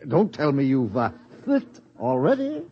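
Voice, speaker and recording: Brief: fussy tippling fake doctor, lively and a bit high-voiced, muffled 1950s radio audio. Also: male voice, unhurried, slight background noise. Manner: fussy, theatrical, hesitant with uhs. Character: boozy phony doctor, man, fussy and theatrical. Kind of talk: 1950s radio drama acting. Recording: dull 1950s radio broadcast.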